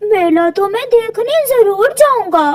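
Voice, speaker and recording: A woman voicing a cheeky, excitable young boy crow in a children's cartoon, eager and childlike. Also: very high-pitched, a little slow. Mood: excited.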